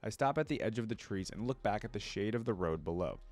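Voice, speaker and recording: A man with a fairly low voice reads fiction aloud. He is a content creator, and his delivery is expressive storytelling with a casual, reactive side. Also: fast talker.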